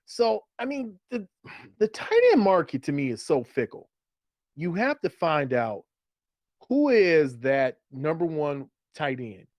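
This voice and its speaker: A man's voice: fairly high pitch, sing-song, measured pace, darkish tone, no echo, lo-fi sound. A man co-hosting a sports show in casual chat, explaining his analysis and repeating phrases for emphasis. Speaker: sports podcast co-host. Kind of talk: casual fantasy football chat.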